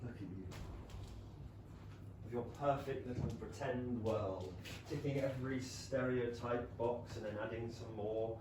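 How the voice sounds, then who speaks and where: Actor as proud wounded gentleman, very echoey theatre. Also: mid-range voice, steady tone, natural voice. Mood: bitter, wounded. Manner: formal, measured, eloquent theatrical delivery. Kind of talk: staged reading of a period romantic drama. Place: small London theatre stage, filmed. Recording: echoey theatre, distant mic, noisy room tone.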